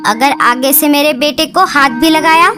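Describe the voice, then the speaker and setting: A woman voicing an angry mother bird in a cartoon who shouts and scolds loudly. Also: sits high, moderate pace, natural voice. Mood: furious. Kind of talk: Hindi cartoon voice acting. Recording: loud background music.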